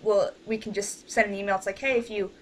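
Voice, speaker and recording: A woman leading an online meeting as producer, talking casually in a halting, rambling, informal way. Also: medium pitch, fairly even intonation, slight background noise. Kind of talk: casual group meeting talk. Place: online group voice call.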